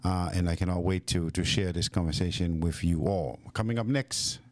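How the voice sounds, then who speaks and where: A man hosting a conversational radio interview, speaking haltingly and often repeating words. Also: low-pitched, no room sound. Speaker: radio show host.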